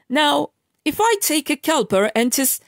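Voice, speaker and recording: A woman's voice: sits high, very animated, a little slow, vocal fry, dry recording. Emphatic ranting woman, dry close voice. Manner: emphatic, lecturing, frequent restarts and fillers. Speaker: vlogger. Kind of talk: casual ranting monologue.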